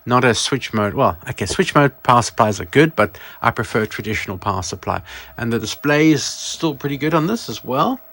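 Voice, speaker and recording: A man presents vintage gear in a casual, off-the-cuff way. His voice sits at an ordinary middle pitch. He rambles through details and often corrects himself mid-thought. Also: lively intonation, a little fast, fairly bright tone, husky. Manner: rambling, self-correcting, informal. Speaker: presenter of a vintage electronics channel. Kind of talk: casual product show-and-tell.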